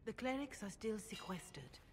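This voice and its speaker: An actress playing a gentle motherly cleric in a video game, urging in a formal, deferential way. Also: mid-range voice, sing-song, normal speed, background noise, close to an everyday voice. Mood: pleading. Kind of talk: scripted video game dialogue.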